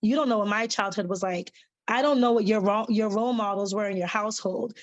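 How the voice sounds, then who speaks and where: A woman's voice: medium pitch, fairly quick. A woman on a podcast panel reflecting at length on her past, rambling with fillers. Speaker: panel guest. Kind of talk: casual panel discussion.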